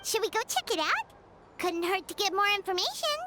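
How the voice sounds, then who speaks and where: Tiny eager fairy companion, very high voice, sing-song delivery. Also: female voice, big pitch swings, a little fast, very noisy. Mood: chirpy. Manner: eager, chirpy. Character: tiny excitable floating fairy companion girl.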